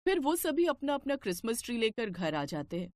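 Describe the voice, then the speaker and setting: A woman's voice: fairly high pitch, very animated, brisk, darkish tone, no echo. A woman narrator reading a scripted cartoon family story.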